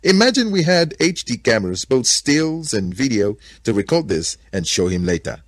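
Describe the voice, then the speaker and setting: An awestruck, excitable gorilla from a storybook, acted by a man. The delivery is exclamatory and emphatic, jumping well above a normal speaking pitch with a husky edge. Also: normal speed, no room sound, studio quality. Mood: amazed. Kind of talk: dramatic storybook character voice.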